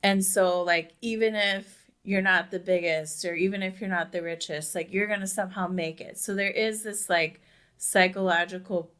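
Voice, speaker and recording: A young woman with a slightly low voice co-hosts a conversational podcast. She speaks with emphasis and peppers her sentences with "like" as she talks things through in a casual way. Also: steady tone, normal speed, fairly bright tone. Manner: emphatic, says "like" very often. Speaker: podcast co-host. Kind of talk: casual discussion.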